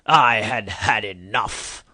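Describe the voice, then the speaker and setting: A man's voice: lowish voice, takes it slowly, sharp tone, raised voice, a little hiss or hum, full character voice. A man playing an indignant, self-important Victorian doctor in an audio drama, pompous and theatrical in formal phrasing. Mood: bitter, self-righteous. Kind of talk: audio drama acting and dramatic first-person narration.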